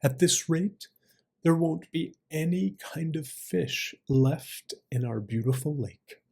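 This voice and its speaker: A man's voice: a little high, takes it slowly, vocal fry, darkish tone, husky, pitched above the performer's own voice, heavily acted. A man voicing an old crane in a storybook fable, a schemer who sounds grave, philosophical and persuasive. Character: old male crane, scheming, feigning sorrow and wisdom.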